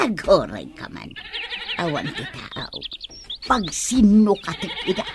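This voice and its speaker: Slow-drawling scheming Ilocano village woman. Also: deep voice, noisy background. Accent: Ilocano Filipino. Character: scheming woman villager sneakily swapping goats. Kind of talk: Ilocano radio comedy drama acting.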